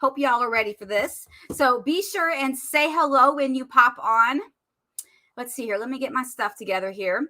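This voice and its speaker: Bubbly woman with a bright high voice. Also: moderate pace. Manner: chatty and bubbly, addressing viewers as y'all. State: losing her voice, clearing her throat. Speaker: makeup consultant hosting a live demo. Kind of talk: casual live-stream makeup tutorial.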